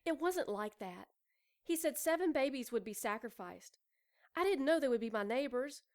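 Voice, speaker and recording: Higher-pitched, sly femme fatale. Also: female voice, expressive, moderate pace. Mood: defensive. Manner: coy, mock-proper, defensive. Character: self-absorbed expectant mother, sly and defensive femme fatale. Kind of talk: noir audio drama acting.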